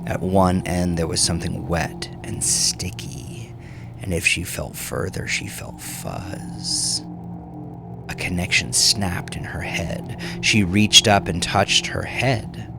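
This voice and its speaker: A man narrating dramatic horror fiction in a hushed, intense way. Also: sits low, animated, slow pace, creaky. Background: music under the voice.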